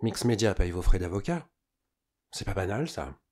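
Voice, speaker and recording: A man playing an insistent police inspector, measured and dryly sarcastic, in a French audio drama. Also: low voice, expressive, darkish tone. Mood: measured, polite.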